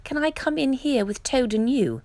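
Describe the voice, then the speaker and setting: A woman's voice: sits high, lively intonation, normal speed, darkish tone, no room sound, pitched above the performer's own voice. A woman voicing a timid but plucky farm goat in a children's storybook, earnest and simple. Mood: pleading.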